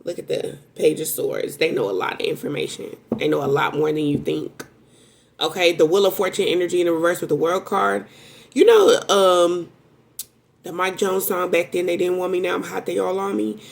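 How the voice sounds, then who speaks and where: A woman giving a casual, rambling tarot reading to viewers, talking in a strong voice that jumps into sudden high exclamations. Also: moderate pace, creaky. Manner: self-described strong voice. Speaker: tarot reader.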